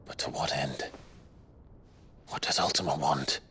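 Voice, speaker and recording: Very deep, brooding warrior lord. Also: male voice, fairly even intonation, unhurried, pushed and bright, breathy edge, exaggerated voice. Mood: grave, questioning. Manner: terse, subdued. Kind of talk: fantasy game drama dialogue.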